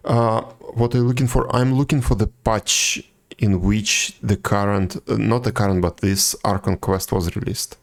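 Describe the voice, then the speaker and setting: A man chatting casually as a live game streamer, musing aloud in a low-key, halting way. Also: fairly even intonation, vocal fry.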